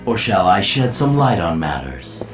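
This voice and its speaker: Silky, theatrical scheming manipulator, swooping delivery. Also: male voice, low voice, very animated, normal speed. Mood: insinuating. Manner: silky, eloquent, theatrical, insinuating. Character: smooth, theatrical, scheming male manipulator counseling heroes. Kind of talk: video game cutscene acting. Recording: roomy echo, distant, music behind.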